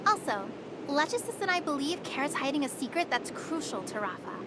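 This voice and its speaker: A woman playing a chatty, cheeky young guide in a sci-fi anime game, with a teasing, expressive delivery. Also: high voice, fairly quick, noisy background. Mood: explaining, confident. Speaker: game character voice. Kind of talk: anime game voice acting, expository dialogue.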